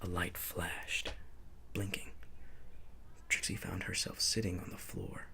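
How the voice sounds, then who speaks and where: A man reading a bedtime story in a hushed, soothing ASMR narration. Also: deep voice, monotone, takes it slowly, vocal fry. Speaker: storybook reader.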